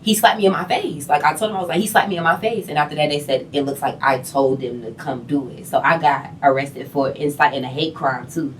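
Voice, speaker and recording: Low-voiced woman telling stories animatedly. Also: fairly quick, hardly any pauses, far from the mic, slight background noise. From Boston. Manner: animated storyteller, repeats phrases. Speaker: guest singer and rapper. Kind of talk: casual interview chat.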